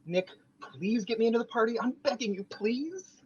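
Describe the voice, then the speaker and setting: A vain, overeager young man desperate to fit in, pleading and showy, as a man reads him in a sitcom table read. His voice sits high for a man. The sound is dull and lo-fi. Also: expressive, normal speed, a little hiss or hum. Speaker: podcast host reading a part. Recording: dull lo-fi recording.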